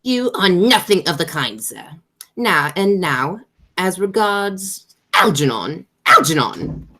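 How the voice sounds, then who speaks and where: Woman playing a snobbish aristocratic matriarch, low pitch, sweeping intonation, haughty and declamatory, radio play. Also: big pitch swings, slow pace, sharp tone, full character voice. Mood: stern. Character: imperious, snobbish aristocratic mother and aunt. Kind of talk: amateur radio play acting.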